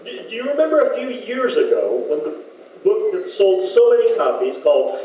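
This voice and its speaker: A man playing a polite, patient radio interviewer in an old-time comedy sketch, with halting, hesitant patter. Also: high voice, sing-song, mellow. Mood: genial. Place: community hall stage. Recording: echoey hall, distant mic, faint noise.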